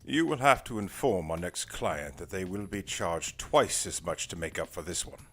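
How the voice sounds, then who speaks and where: A man's voice: deep voice, lively intonation, normal speed, slight background noise. A man playing a formal, dry, weary older private investigator in an audio drama, speaking in precise, wordy sentences. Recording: music behind the voice.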